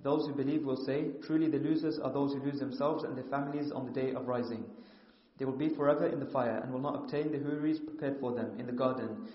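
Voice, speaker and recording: A man's voice: medium pitch, fairly even intonation, quick pace. Man, melodic scripture recitation, plain explanations, long hall reverb, muffled sound. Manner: chants verses melodically, then reads the translation plainly. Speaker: Quran teacher. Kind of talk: Quran recitation with English explanation. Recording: echoey, dull, reverberant room.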